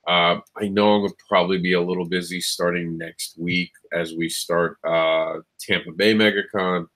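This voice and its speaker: A lowish male voice, stuffed up and nasal from a cold that won't clear, with a slightly scratchy throat. He hosts a casual live show, talking loosely with plenty of ums, false starts and self-corrections. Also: fairly even intonation, moderate pace, fairly bright tone. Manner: rambling, many ums and restarts. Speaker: show host. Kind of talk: casual livestream chat.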